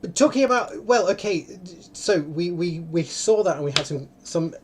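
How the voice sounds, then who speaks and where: A man hosting an online live event in a casual, unscripted way, often halting and interrupting himself. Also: fairly high pitch, animated, background noise. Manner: rambling, halting, self-interrupting. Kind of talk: casual, unscripted livestream talk. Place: indoor room, online video call.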